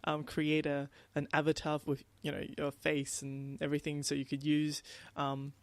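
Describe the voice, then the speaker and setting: A woman's voice: low-pitched, monotone, normal speed, no room sound. A woman co-hosting a podcast in casual conversation, telling things in a rambling way. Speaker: podcast co-host. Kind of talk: casual chat.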